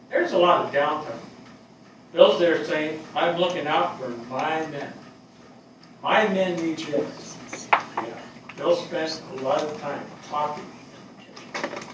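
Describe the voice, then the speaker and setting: Man acting older fire captain, grieving, halting, weary, plain-spoken, high-pitched for a man, stage drama, noisy distant recording. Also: fairly bright tone. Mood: fond, reminiscing. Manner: halting, hesitant delivery. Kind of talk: dramatic stage reading of a play. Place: small theatre stage before a seated audience. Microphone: distant camera microphone. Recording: very echoey hall, far microphone, noisy.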